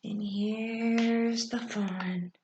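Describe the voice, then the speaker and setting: A woman reading a picture book aloud in a teacherly way, pausing to explain things to children. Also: medium pitch, steady tone, darkish tone, highs cut off, a little hiss or hum. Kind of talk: read-aloud storytelling with informal explanations to children. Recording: echoey, roomy sound.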